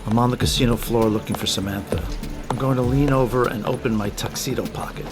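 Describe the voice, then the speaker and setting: Actor as adventure-drama captain: male, fast, urgent, commanding, terse. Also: medium pitch, fairly even intonation, over music, natural voice. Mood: focused, urgent. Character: brisk, commanding male captain on a covert mission. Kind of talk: audio drama acting.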